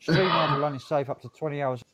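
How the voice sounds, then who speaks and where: A man hosting a stream, chatting casually in a relaxed, matter-of-fact way. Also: a little high, fast talker. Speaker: stream host. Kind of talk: casual chat.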